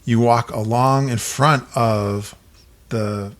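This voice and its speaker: A man's voice: mid-range voice, fairly even intonation, slow. Guy cohosting a podcast, upbeat, laughs a lot, just chatting. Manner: enthusiastic, laughs often. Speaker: podcast co-host. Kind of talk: casual chat.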